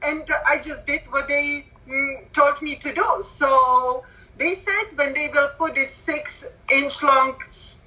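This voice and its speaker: Slow, bright-voiced woman with a Czech accent. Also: sits high, fairly even intonation, muffled recording. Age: 40s. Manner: slow, careful speech with non-native grammar. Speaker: guest. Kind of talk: telling her story on a call.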